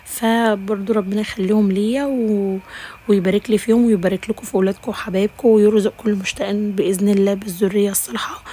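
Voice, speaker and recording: A woman's voice: mid-range voice, dark tone, breathy edge. A woman with an Egyptian accent narrating a home routine vlog, affectionately calling her viewers dear sisters, her voice a little tired. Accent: Egyptian Arabic. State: slightly tired voice. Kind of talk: casual voice-over narration.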